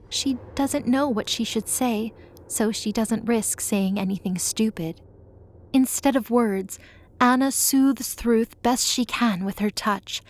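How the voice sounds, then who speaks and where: A woman narrating scripted dramatic audio fiction, reading as a storyteller. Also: a little high.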